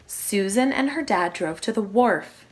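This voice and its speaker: A woman reading a children's story aloud like a primary teacher, with expressive, emphatic phrasing for young listeners. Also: mid-range voice, unhurried.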